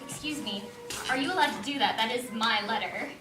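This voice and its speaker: A woman's voice: a little high, brisk, over music, close to an everyday voice. A woman acting in a stage play as a frustrated, insistent young adoptee searching for her birth mother. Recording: echoey, noisy stage recording from far off.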